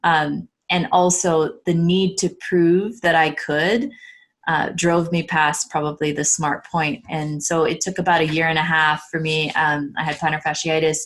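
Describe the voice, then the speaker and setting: A woman's voice: deep voice, level tone, a little slow, a bit bright. A middle-aged woman talking earnestly and reflectively as a guest in a casual conversation.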